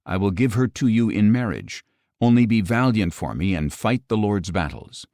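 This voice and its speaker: Jealous, scheming ancient king read by a male narrator, low-pitched, expressive, weighty scripture storytelling, dry sound. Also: moderate pace, dry recording. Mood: commanding.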